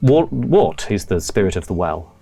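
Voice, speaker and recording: A man's voice: low-pitched, very animated, normal speed, creaky, warm tone, breathy edge, no echo, faint music behind, heavily acted. A man voicing a polite, evasive male village librarian who rambles with many fillers and restarts.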